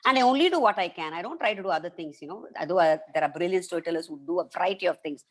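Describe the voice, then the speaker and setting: A woman with an Indian English accent, a professional storyteller chatting as a guest on a video call, animated, slipping into voices for the people she quotes. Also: fairly low pitch, very fast. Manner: animated, acts out quoted voices, laughs often. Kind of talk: casual interview chat.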